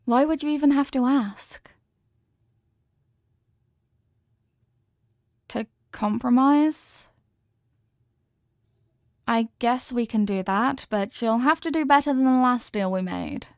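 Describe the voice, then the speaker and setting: A woman's voice: high voice, animated, measured pace, no echo, studio quality. A woman playing an obsessively loving, possessive wife in an intimate ASMR roleplay, coaxing and melodramatic, lingering on her words. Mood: touched, delighted.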